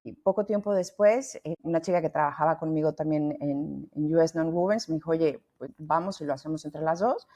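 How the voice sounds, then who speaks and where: Lively woman with a Mexican accent. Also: deep voice, brisk, hardly any pauses. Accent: Mexican Spanish. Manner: lively, talkative, many restarts. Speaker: founder and guest. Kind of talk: podcast interview.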